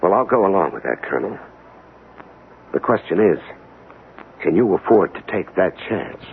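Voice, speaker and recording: A principled, insistent investigator in a 1970s radio drama. His lowish voice swings widely as he questions things in an earnest, halting way. The recording is narrow, like a telephone line. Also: big pitch swings, vocal fry, a little hiss or hum, exaggerated voice. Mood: indignant.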